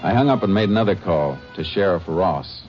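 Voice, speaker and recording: An actor playing a determined Texas Ranger who narrates in a terse, clipped first-person style, in a 1950s radio drama. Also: male voice, sits low, expressive, brisk. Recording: dull old radio broadcast with music.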